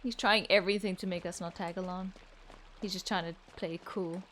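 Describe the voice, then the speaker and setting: A woman's voice: on the low side, fairly even intonation, brisk, poor audio. A woman giving casual, chatty reaction commentary while playing a game, laughing often and using lots of fillers. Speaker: gaming streamer.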